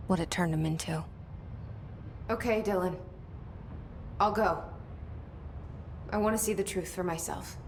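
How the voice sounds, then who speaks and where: A woman's voice: lowish voice, measured pace, long pauses, a little hiss or hum. An actress with an American accent playing a determined young woman trying to save her brother in a game cutscene, blunt, wry and restrained. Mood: skeptical, resolved. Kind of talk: video game cutscene acting, naturalistic.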